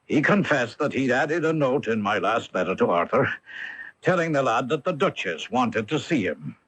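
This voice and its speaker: An actor playing a proud, troubled aristocratic Duke in a radio mystery, with a stately, formal and deliberate delivery. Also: male voice, mid-range voice, big pitch swings, fairly bright tone, slightly rough. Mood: grave confession. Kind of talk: 1980s radio mystery acting. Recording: dull lo-fi AM radio recording.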